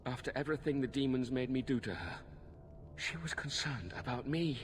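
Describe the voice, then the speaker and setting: A man playing a tormented, pitiful killer in a video game, halting and anguished as he pleads. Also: mid-range voice, close to an everyday voice. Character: tormented, self-loathing male killer, magistrate's son, pitiful. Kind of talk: video game dramatic acting.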